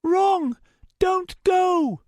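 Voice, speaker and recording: Guy putting on a squeaky high wind spirit girl, clipped panicky words, dry close sound. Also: drawn-out words, no echo, heavily acted. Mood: insistent. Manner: terse, clipped, insistent. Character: frantic wind elemental girl, childlike, broken speech.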